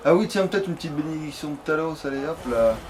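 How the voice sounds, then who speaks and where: A man with a fairly high voice plays a game and comments casually as he goes. His talk is crude and full of swearing. There is slight background noise behind him. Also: lively intonation, quick pace, a little mellow. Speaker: let's player.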